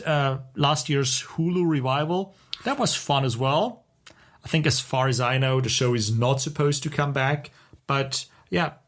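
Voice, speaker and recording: Casual male podcast co-host, thinking aloud. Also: medium pitch. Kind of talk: casual podcast chat, recommending TV shows.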